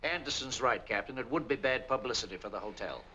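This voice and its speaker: A businesslike hotel manager in a 1940s film. He is commanding and quick, with lively intonation, on a slightly noisy old soundtrack. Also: medium pitch, dull audio. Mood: stern, in charge. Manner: commanding, clipped.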